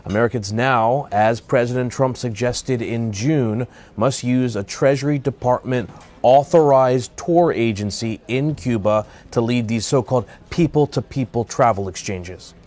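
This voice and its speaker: A man's voice: mid-range voice, measured pace, darkish tone. A man giving a live broadcast news report as a correspondent, formal but often hesitating between phrases.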